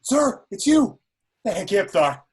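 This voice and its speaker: Casting: male reader as devoted young alien sidekick, highish, raspy, very animated. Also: takes it slowly, exaggerated voice. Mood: eager, excited. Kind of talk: script table read.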